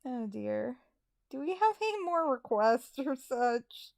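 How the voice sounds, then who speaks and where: A woman's voice: high voice, very animated, takes it slowly, creaky. A woman co-hosting a casual livestream and reading viewer messages in a self-deprecating, hesitant way. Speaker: livestream co-host and hobby voice actor.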